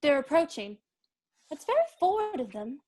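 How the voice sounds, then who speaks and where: Woman as prim, high-voiced young lady Cecily. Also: big pitch swings, moderate pace, mellow. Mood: naive fretting. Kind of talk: dramatic reading of Victorian comedy play.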